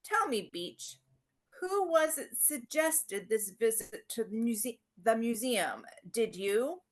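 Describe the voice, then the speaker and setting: A woman's voice: on the high side, expressive. A woman doing a vague old lord. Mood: distracted. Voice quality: distracted, halting. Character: vague, absent-minded older aristocratic gentleman. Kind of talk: radio play acting.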